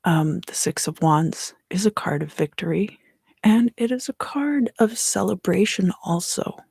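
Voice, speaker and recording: A woman giving a soft-spoken, partly whispered ASMR tarot reading in a breathy, gentle, unhurried way, pausing between short phrases close to the microphone. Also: lowish voice, no echo. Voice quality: breathy, hushed. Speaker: tarot reader hosting an ASMR video.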